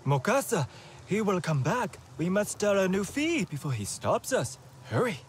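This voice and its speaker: An excitable, worried alien healer in a game cutscene. His voice sits a little high and swings widely. The delivery is earnest and halting, over faint noise. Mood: relieved, urgent. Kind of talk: dramatic video game cutscene acting.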